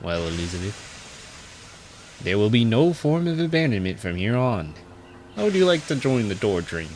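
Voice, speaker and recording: A man's voice: lowish voice, very animated, moderate pace, a little mellow, a little hiss or hum. A man doing a loyal, earnest tank engine. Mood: kind. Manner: earnest, turns stern and firm. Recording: music clearly behind.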